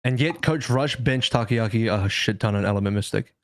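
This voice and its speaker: A man's voice: on the low side, a little fast, dry recording, studio quality. A man giving casual esports analysis as a podcast panelist, insistent and repeating his phrases to press the point.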